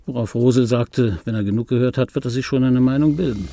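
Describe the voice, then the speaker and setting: A male actor playing a vain, aggrieved middle-aged dubbing actor in a German radio drama monologue, insistent and repetitive, with a raspy voice. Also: mid-range voice, fast, warm tone, slight background noise, lightly acted. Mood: brooding, defensive. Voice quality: raspy, gravelly. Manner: insistent, repetitive, self-justifying. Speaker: narrator of a radio play. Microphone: close studio microphone, dry.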